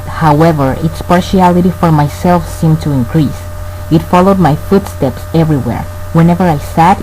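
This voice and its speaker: An actor playing a tormented man narrating a gothic horror tale, formal, grave and measured in a radio play. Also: fairly high pitch, mellow, lightly acted, rough recording. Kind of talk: scripted dramatic narration. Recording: faint background noise and hum.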